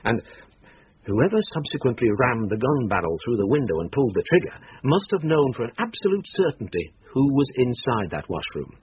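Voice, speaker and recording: An actor playing a calm, courteous English police inspector in a radio drama, speaking in a measured, dry, formal way. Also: male voice, mid-range voice, slightly rough, dry recording. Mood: measured, confident. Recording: dull radio drama recording with faint noise.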